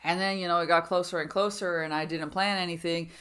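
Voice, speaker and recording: A woman's voice: on the low side, fairly even intonation, quick pace, a bit bright. A woman in her thirties talking through mixed feelings in a personal vlog, rambling with many fillers and restarts, and acting out her own inner voices in quoted asides. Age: 30s. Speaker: vlogger.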